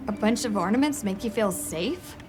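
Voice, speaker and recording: A woman's voice: medium pitch, expressive, normal speed, lightly acted. An actress playing a breezy, cheeky young female companion in a video game, with music behind the dialogue. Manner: casual, breezy. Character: perky young woman companion, casual, cheeky, upbeat. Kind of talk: video game cutscene acting.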